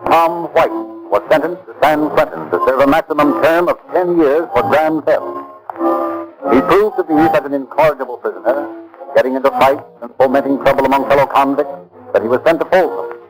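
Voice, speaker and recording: A man's voice: medium pitch, a little fast, lightly acted, rough recording. A man narrating a true-crime radio program in a grave, dramatic, scripted old-time broadcast style. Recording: vintage radio broadcast with music. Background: music.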